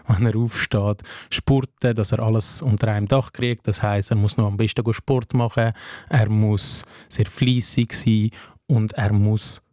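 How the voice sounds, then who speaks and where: A man's voice: on the low side, fairly even intonation, unhurried, a little mellow, no room sound, clean studio sound. A man from Afghanistan with a Swiss German accent, a social worker in his twenties, speaking earnestly and reflectively as an interview guest. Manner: earnest, searching, repeats phrases with "hey".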